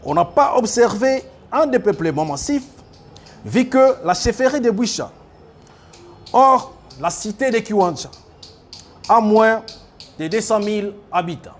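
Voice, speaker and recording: A man with a Congolese French accent, formally reading out a declaration as a spokesman in a halting, deliberate way. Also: high-pitched, lively intonation. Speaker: spokesman reading a youth group's statement.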